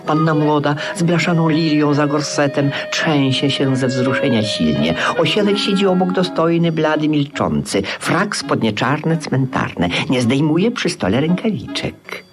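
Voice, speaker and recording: A man acting a solemn storyteller, narrating a grotesque tale in a 1950s radio play. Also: medium pitch.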